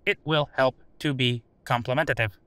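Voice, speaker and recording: A man voicing an old sentient AI in an audio drama, formal and literal, with stilted, deliberate phrasing. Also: medium pitch, fairly even intonation, fairly bright tone, clean tone, dry recording, natural voice. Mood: dry, formal. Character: old sentient AI computer, formal, literal, dryly attempting humor. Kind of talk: scripted audio drama interview.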